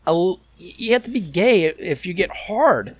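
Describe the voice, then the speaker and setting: A man co-hosting a crude comedy podcast with a Cincinnati, Midwest accent, teasing his partner and firing back quick profane rebuttals. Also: sits high, lively intonation, no room sound. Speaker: podcast co-host. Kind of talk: casual crude podcast banter.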